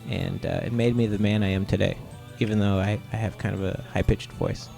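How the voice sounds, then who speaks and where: A man from Ohio, a comic artist guest, giving relaxed, rambling interview answers with lots of "uh"s. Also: on the low side, a little fast, creaky, soft background music. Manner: laid-back, rambling with many "uh"s.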